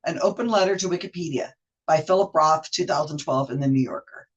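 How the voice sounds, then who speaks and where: Husky-voiced rambling editor with a cold. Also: mid-range voice, a little fast, low-quality recording. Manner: chatty, rambling, self-correcting. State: has a cold. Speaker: Wikipedia editor. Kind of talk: casual screen-share explainer.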